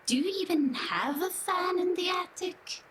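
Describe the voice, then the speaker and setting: A woman playing a timid, devoted young female assistant, soft and deferential, in a sci-fi audio drama. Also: very high-pitched, measured pace, slight background noise. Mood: anxious.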